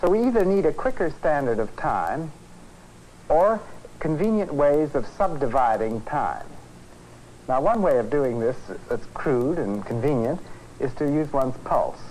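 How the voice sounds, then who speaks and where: A man, a physics professor, presenting an educational film lecture in a measured, conversational, explanatory way. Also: a little high, lively intonation, darkish tone. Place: film studio. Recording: noisy 1950s educational film soundtrack.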